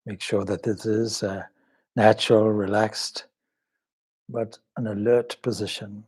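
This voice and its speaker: A man leading a guided meditation as a swami, speaking in a measured, solemn, devotional way with pauses between short phrases. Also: fairly low pitch, little pitch movement, a little mellow, husky.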